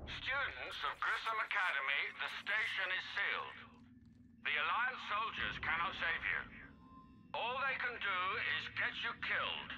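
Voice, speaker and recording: A man's voice: sits high, sing-song, moderate pace, bright, forceful tone, exaggerated voice, poor audio. Game role: menacing male enemy announcer, cold ultimatum, radio filter, faint noise. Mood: cold threatening. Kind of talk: video game voice acting.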